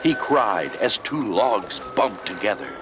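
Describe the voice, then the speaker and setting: A man tells a children's story in a fairly low voice, taking it very slowly. His phrasing is deliberate and expressive, with lively rises and falls, over prominent music. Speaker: storybook narrator. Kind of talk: scripted children's story narration. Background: loud music.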